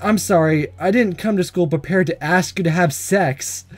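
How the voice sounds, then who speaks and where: A man voicing a flustered, awkward, reluctant high school boy from a visual novel, protesting as he talks. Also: normal speed. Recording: music under the voice.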